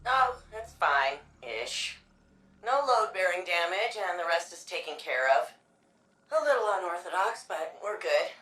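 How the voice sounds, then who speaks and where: An actress voicing a spirited, nerdy young woman archivist in a video game, quick and chatty. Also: fairly low pitch, lively intonation, bright, forceful tone. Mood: playful. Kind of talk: video game dialogue and narration.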